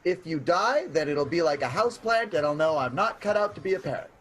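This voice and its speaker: An actor playing a chatty, apologetic, fickle would-be murderer in an improvised radio comedy. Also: male voice, on the high side, lively intonation, fairly quick, a bit bright. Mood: breezy, earnest.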